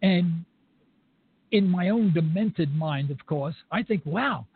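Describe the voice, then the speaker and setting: A man's voice: sits high, takes it slowly, slightly rough, dry recording. An older man interviewing a guest in an opinionated, rambling way, chuckling as he goes. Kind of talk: conversational interview. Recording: tinny phone line.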